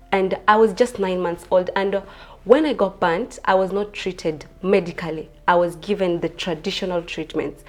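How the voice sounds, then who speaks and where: Kenyan woman in her 20s, lowish voice, earnest, soft, kinda nervous interview answers. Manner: earnest, soft-spoken, with frequent uh and um. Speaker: pageant contestant.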